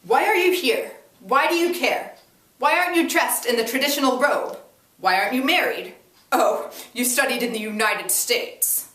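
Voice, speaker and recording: A woman's voice: a little high, animated, moderate pace, fairly bright tone, pitched above the performer's own voice. A woman reading aloud as hostile, haughty uncles in a dramatic story, snappish and dismissive. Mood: aggressive, accusing. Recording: echoey room, distant mic.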